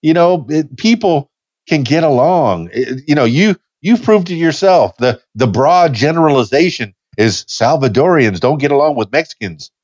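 A man's voice: a little high, expressive, moderate pace, darkish tone, no room sound, highs cut off. A man in his fifties hosting a casual podcast chat, easygoing and rambling, with frequent restarts. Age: 50s. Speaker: podcast host.

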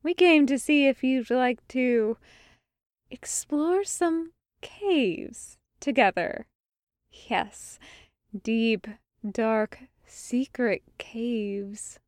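A woman's voice: a little high, extremely slow, no echo, studio quality. A woman voicing a brash, teasing woman rogue in a story, flirtatious and playfully emphatic. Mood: suggestive.

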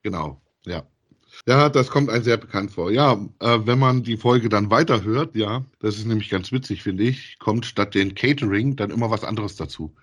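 A man's voice: on the low side, normal speed, breathy edge. A man chatting casually as he co-hosts a review of audio dramas.

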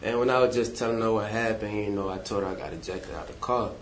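A man's voice: lowish voice, fast talker, a little hiss or hum. Young man telling stories in an echoey room. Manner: lively storyteller, addresses listeners directly. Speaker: podcast co-host. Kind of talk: casual storytelling.

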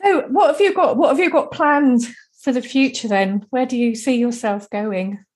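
A woman hosting a casual interview, chatty and agreeable, with plenty of quick yeahs. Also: high voice, a little mellow. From English-speaking country, now living in Denmark. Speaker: host.

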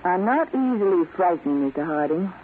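A woman's voice: on the low side, lively intonation, moderate pace, natural voice. A woman playing a brave, earnest and polite young refugee in a 1940s radio drama. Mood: earnest, resolute. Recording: tinny, noisy vintage radio recording.

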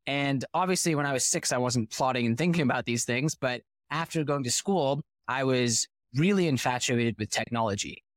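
A man's voice: mid-range voice, normal speed, hardly any pauses, dry recording, studio quality. Fluent man telling his founder story. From United States (Boston). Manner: fluent, animated storyteller who poses rhetorical questions. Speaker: podcast guest, company founder. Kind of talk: casual interview storytelling.

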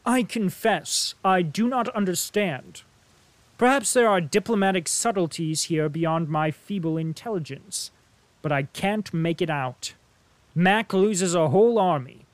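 A man doing an earnest young officer in an audiobook, measured and a little frustrated. The voice is high and the pace slow. The sound is dry. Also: no echo.

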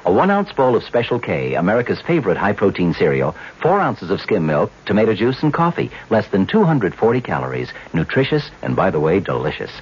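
A man's voice: on the low side, wildly swooping pitch, fairly quick, hardly any pauses, breathy edge. A man working as a commercial spokesman, reading a scripted radio ad in a conversational way. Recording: dull old radio broadcast.

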